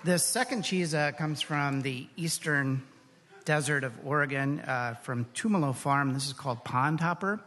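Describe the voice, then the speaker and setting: A man's voice: fairly high pitch, moderate pace, fairly bright tone. A man speaking as an expert guest in a live interview, describing things on stage. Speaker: guest, professional cheesemonger. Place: stage before a live audience.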